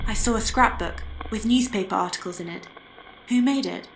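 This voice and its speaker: A woman's voice: mid-range voice, moderate pace, creaky, noisy background, natural voice. An actress playing an inquisitive young woman who questions plainly and directly in a horror game. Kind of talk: horror game dramatic acting.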